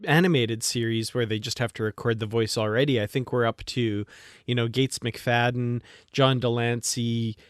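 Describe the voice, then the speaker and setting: An enthusiastic male podcast host with a slightly low-to-middle voice in a casual chat. The recording is dry and close, with very little room sound. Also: fairly even intonation, moderate pace, dry recording.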